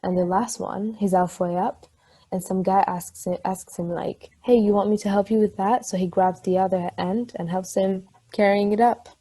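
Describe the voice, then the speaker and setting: A woman playing a chatty partner in a table read, telling an anecdote in a rambling, conversational way with a slightly husky voice. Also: mid-range voice, fairly even intonation, normal speed, dark tone, close to an everyday voice. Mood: amused storytelling.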